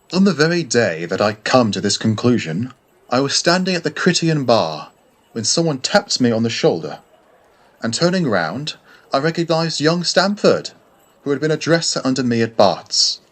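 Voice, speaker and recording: A man reading a classic first-person story as scripted narration, in a measured, formal way. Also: medium pitch. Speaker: audiobook narrator.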